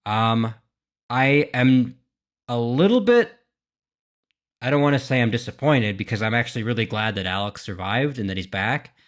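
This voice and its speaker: Casual man thinking aloud. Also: medium pitch. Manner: hesitant, thinking aloud, mild swearing. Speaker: YouTube gaming reaction host. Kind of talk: casual unscripted reaction commentary.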